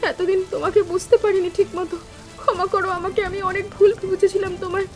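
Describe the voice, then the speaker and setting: A woman playing a timid, grateful younger sister-in-law in an animated family drama, speaking in an earnest, emotional, deferential way. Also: extremely high pitch, fast. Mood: shaken, grateful. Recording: music bed with rain sounds.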